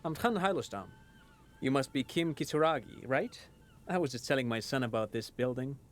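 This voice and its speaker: A man's voice: mid-range voice, expressive, fairly quick, slight background noise, lightly acted. An actor playing a chatty father in a video game who lectures on local history in a professorial cadence. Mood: lecturing. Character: chatty adult father, trivia-loving local history buff.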